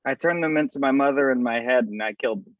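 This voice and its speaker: A man's voice: mid-range voice, fast talker, no room sound, dull audio, natural voice. A man playing an awkward, morbidly earnest misfit supervillain in a script read-through.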